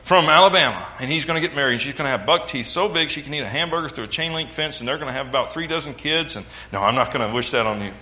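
A man's voice: medium pitch, very fast, hardly any pauses, sharp tone. A man from the American South with a Southern accent, preaching a sermon with folksy jokes and mimicked sounds.

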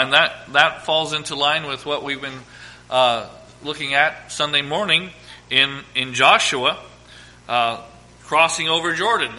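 A man preaching a sermon as pastor, hoarse from a cold, reading scripture slowly and with emphasis. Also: fairly high pitch, pushed and bright, poor audio. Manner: reads scripture slowly and emphatically, asks congregation to quote verses.

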